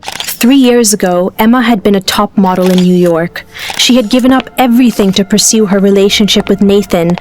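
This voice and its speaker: A woman's voice: mid-range voice, moderate pace, darkish tone, soft background music. A woman narrator giving dramatic scripted storytelling.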